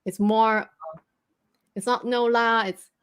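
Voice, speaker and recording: A woman's voice: on the high side, fairly even intonation, slow, smooth. A woman who speaks Cantonese, co-hosting a casual chat and explaining things patiently. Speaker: co-host.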